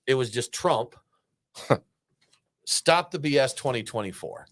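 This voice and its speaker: A man's voice: mid-range voice, lively intonation, measured pace, fairly bright tone, no echo. A man, co-hosting a beer show and bantering casually in a studio. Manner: joking, laid-back banter. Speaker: podcast co-host. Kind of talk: casual chat.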